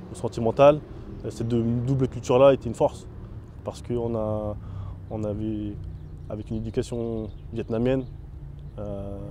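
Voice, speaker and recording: Halting young man, very dark voice. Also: mid-range voice, slight background noise. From France. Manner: hesitant, many fillers and restarts. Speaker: interviewee. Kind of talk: casual testimony.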